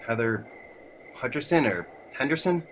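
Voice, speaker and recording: A man playing a young prankster with a grudge, musing privately in a horror radio play. His voice is mid-pitched, with faint noise behind it. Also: animated, normal speed, fairly bright tone, close to an everyday voice. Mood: resentful musing. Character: young male prankster, resentful attention-seeker plotting scares. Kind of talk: audio drama inner monologue.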